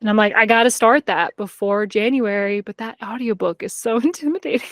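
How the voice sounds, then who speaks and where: A woman's voice: on the high side, fairly quick, a bit bright, no echo. Woman chatting casually, close dry sound. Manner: informal, conversational, peppered with "like". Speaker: podcast co-host. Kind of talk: casual chat about books and TV.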